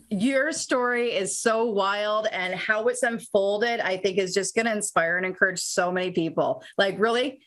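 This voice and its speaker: A woman's voice: medium pitch, normal speed, a bit bright, low-quality recording. Enthusiastic woman co-hosting a show. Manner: enthusiastic, laughs often. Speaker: podcast co-host. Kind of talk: upbeat guest introduction.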